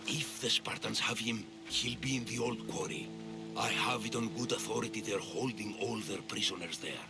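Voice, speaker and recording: A man's voice: fairly low pitch, steady tone, normal speed, vocal fry, loud music behind the voice. A man acting a stern, proud and scheming Athenian general in a video game, with a commanding, curt manner. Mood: guarded, insistent. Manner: commanding, curt, self-important. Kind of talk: scripted video game dialogue.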